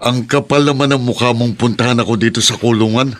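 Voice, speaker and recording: An actor playing a bitter, scornful jailed former lover in a Filipino radio drama. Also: male voice, medium pitch, steady tone, quick pace, over loud music, natural voice.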